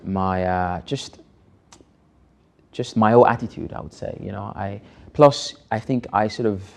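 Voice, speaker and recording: A young man in a soft, dark, mellow tone. His voice sits lowish and has a gravelly fry at the edges. He talks reflectively, pausing and restarting his sentences. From Nigeria. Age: 20s. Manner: hesitant, many restarts and "you know". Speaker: film director being interviewed. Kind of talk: reflective personal interview.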